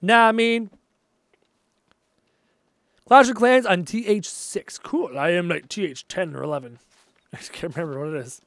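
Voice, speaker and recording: High-voiced male streamer losing his voice. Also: normal speed, vocal fry, long pauses, no echo. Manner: chatty, reads out viewer messages, easily excited. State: losing his voice, low on energy. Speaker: game streamer. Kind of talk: casual live-stream chat with viewers. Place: at his desk at home.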